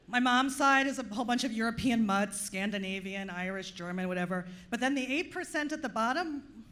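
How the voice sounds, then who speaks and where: A woman's voice: on the high side, a bit bright. A woman from Long Island, New York, with a Long Island accent, performing a stand-up comedy set in a club, delivering dry, self-deprecating punchlines. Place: comedy club stage with audience.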